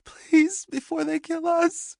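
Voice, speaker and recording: A man's voice: extremely high pitch, level tone, slow, gravelly, a little mellow, no room sound, studio quality, pitched above the performer's own voice, exaggerated voice. A man voicing a panicked young pregnant woman who sobs and pleads frantically. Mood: frantic pleading.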